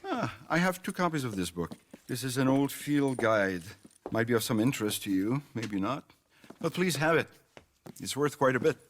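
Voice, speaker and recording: An actor as a middle-aged, slippery small-town mayor in a game cutscene. His medium-pitched voice rises and falls theatrically, genteel and ingratiating, with faint noise behind it. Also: sing-song, normal speed, close to an everyday voice. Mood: delighted. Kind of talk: video game cutscene acting.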